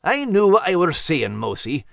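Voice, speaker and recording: A playful terrier from a children's storybook, pitched high and swooping freely. The gruff voice has plenty of gravel and a teasing, plainspoken manner. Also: male voice, huge pitch swings, moderate pace, dry recording, studio quality, full character voice. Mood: hearty, teasing. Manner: informal, blunt, teasing. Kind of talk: animated children's storybook character reading.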